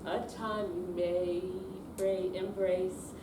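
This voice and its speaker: An older woman reciting song lyrics in a measured way at an amateur live performance. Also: fairly low pitch, level tone. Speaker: amateur readers theater performer. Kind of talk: slow recitation of lyrics, half sung. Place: indoor senior center room, live performance. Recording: roomy, distant mic, noisy hall.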